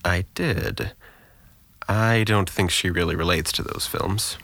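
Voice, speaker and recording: A man's voice: deep voice, slow, gravelly, sharp tone, dry recording, full character voice. An actor playing a sarcastic, guarded, depressed young man with dry wit and an articulate, deflecting manner in an audio drama.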